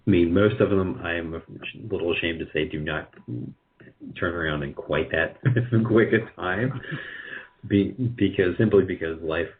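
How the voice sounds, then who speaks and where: A man's voice: low voice, a little slow, phone-quality sound. A middle-aged man answering interview questions conversationally as a guest, in a hesitant, self-deprecating way. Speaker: guest, radio drama producer.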